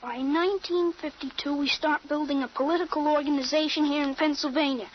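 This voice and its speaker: A woman's voice: sits high, fairly even intonation, fairly quick, close to an everyday voice. An actress playing an earnest, assured thirteen-year-old boy with a grown soldier's mind in an old-time radio drama. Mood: insistent, sure. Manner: assured, insistent, explanatory. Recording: muffled, noisy old radio recording.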